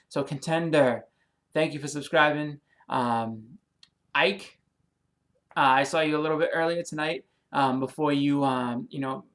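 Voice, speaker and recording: A man's voice: a little high, a bit bright. A man with a Boston accent, rambling through a casual vlog with many ums and you-knows, friendly and apologetic as he thanks new subscribers. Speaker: comic and movie YouTuber.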